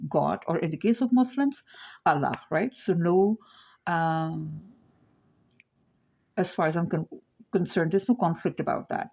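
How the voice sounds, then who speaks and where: A woman's voice: deep voice, vocal fry, dark tone. A woman teaching her framework in an informal lecture, meandering and thinking aloud, often checking in with "right?".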